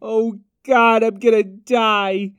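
A man's voice: high-pitched, level tone, takes it slowly, a bit bright, slight background noise. A man acting a sensible, anxious dinosaur sidekick, an exasperated straight man in a comedic radio drama. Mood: dejected.

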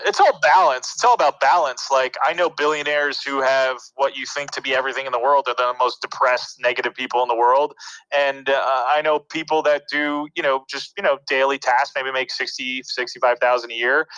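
A bright, forceful man in his thirties from New York and New Jersey, speaking as an interview guest. He muses at length, circling back with fillers and restarts. The recording is dry and a little dull. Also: medium pitch, dry recording. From New York City and New Jersey. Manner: rambling, reflective, frequent fillers and restarts. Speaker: interview guest, media producer. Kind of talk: casual conversational interview.

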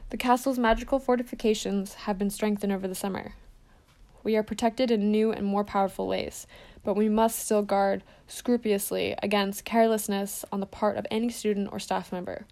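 Read by a woman, a wise old male headmaster speaks in a measured, formal way, slow and weighty. A gravelly grain runs under the voice. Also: medium pitch, fairly even intonation, a little mellow, lightly acted.

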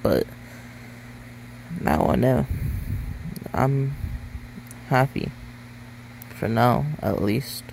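A man recording a thankful voice memo on his phone, speaking in a halting way. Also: mid-range voice, lively intonation, gravelly, darkish tone, a little hiss or hum, low-quality recording. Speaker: listener sending a voice memo. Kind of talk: casual voice memo.

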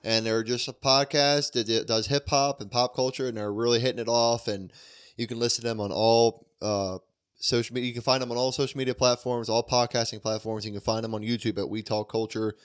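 A man's voice: mid-range voice, steady tone, fairly quick, smooth. An American man co-hosting a podcast, joking crudely and swearing freely in casual banter with friends. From Delaware, United States. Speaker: podcast co-host.

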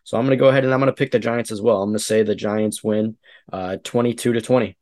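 A man's voice: on the low side, steady tone, fast talker, a little mellow. A man co-hosting a casual sports talk show, chatty and full of fillers as he analyzes a game. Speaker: podcast co-host.

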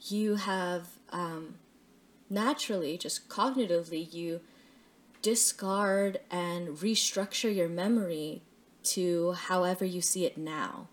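A woman talking casually and reflectively, thinking out loud with fillers and restarts. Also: lowish voice, slow. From Canada. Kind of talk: casual conversation.

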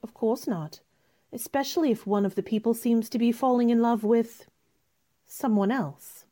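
A woman playing a spirited, outspoken young gentlewoman in a radio drama, with a pointed, forthright manner. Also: on the high side, normal speed, lightly acted. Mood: cool, pointed.

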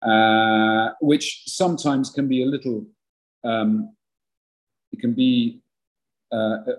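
A man's voice: medium pitch, steady tone, slow. An older man, an actor, talking in a podcast interview, rambling and self-deprecating with many restarts and a big voice. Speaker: actor guest.

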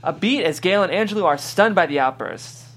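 A woman's voice: fairly low pitch, expressive, a little fast, breathy edge. A woman reading scene directions as scripted narration at a table read. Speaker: stage-direction reader.

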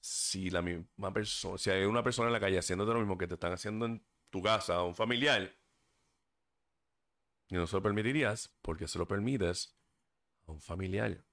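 A man's voice: low voice, normal speed, a bit bright. A man hosting a solo podcast, talking earnestly and stumbling over words now and then. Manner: earnest and preachy, stumbles on words, drifts into English fillers. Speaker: podcast host. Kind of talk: casual solo podcast talk. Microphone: desk microphone.

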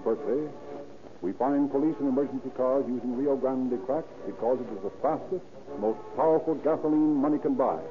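An American man announcing and narrating an old-time radio show from a script in a formal, declamatory style. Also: medium pitch. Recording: tinny 1930s radio recording.

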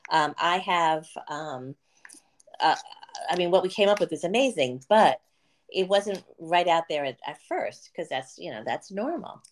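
A woman's voice: on the low side, animated, unhurried. A female business coach hosting a podcast, explaining marketing earnestly with a chatty, um-filled delivery. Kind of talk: casual podcast chat.

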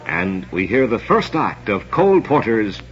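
A man's voice: lowish voice, big pitch swings, a little slow. A man hosting an old-time radio theatre program with formal, theatrical showmanship. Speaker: radio show host and announcer. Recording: dull old radio recording, crowd noise. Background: murmuring crowd.

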